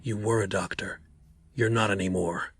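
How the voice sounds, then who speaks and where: A man playing a gruff, indignant police commissioner in an audio drama, blunt and exasperated in manner. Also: lowish voice, fairly even intonation, normal speed, a bit bright, dry recording, studio quality. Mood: exasperated, stern.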